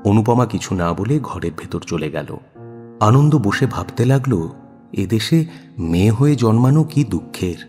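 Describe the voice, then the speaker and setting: A male narrator reads a dramatic audio story. His voice is fairly low, with lively rises and falls in pitch. Faint music plays behind him. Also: a little slow. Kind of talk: expressive scripted story narration.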